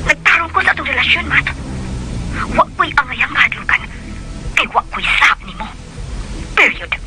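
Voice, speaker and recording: Anxious young woman in a radio drama, really high n animated, very emphatic. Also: normal speed, music in the background. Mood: indignant. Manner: emotional, emphatic. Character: anxious, outspoken young woman defending herself in love.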